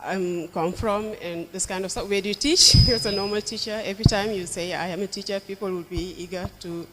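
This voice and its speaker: A young woman from Senegal, an English teacher, answering interview questions thoughtfully, with restarts and soft laughs. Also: medium pitch, fairly even intonation, brisk, slight background noise, low-quality recording. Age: young adult.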